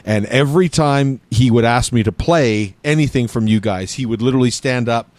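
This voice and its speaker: A man co-hosting a radio show jumps in with an eager, chatty anecdote. His mid-pitched voice sounds close and dry, with no room around it. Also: moderate pace, darkish tone, no room sound. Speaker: radio co-host, former producer. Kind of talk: casual chat.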